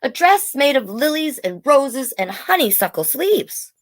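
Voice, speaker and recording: A woman's voice: high voice, big pitch swings, unhurried, voice pushed up. A woman voicing a hopeful, earnest young child who dreams of dresses, in a children's storybook read-aloud. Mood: earnest pleading.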